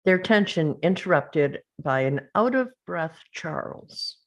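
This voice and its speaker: A woman reading the action and description at a script table read over a video call, in a measured, plain way. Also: fairly low pitch, lively intonation.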